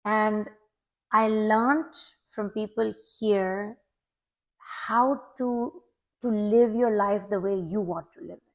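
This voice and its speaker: A woman's voice: mid-range voice, fairly even intonation, takes it slowly. Earnest woman guest from Pakistan. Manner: earnest, reflective, repeats words when starting. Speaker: guest, veteran teacher. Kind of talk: casual interview talk.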